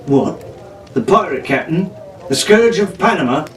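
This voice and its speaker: In an echoey room, a little away from the mic, a man voices a cocky teenage boy pirate from a storybook. He is flippant and glib, a sardonic young rogue who trips over his own words. The pitch sits on the high side for a male voice. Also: lively intonation, a little slow, distant mic. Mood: wry, curious.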